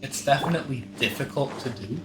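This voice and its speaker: A man's voice: medium pitch, quick pace. A man streaming a game, chatting casually and rambling with restarts as he thinks aloud. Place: room with other people present. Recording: roomy, off-mic, loud game music behind.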